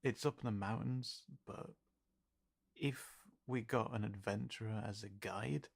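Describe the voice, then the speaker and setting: A man playing an easygoing, gently supportive fantasy companion in an audio roleplay, speaking softly and haltingly. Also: lowish voice, vocal fry. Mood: thoughtful, hushed. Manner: halting, soft-spoken, reassuring. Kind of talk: intimate audio roleplay acting.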